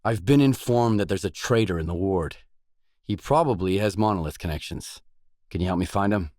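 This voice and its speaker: A low male voice for a weary, guarded mercenary in a video game. He talks in short, blunt, businesslike lines, matter-of-fact and gruff. The sound is close and dry, with no room around it. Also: darkish tone, no room sound.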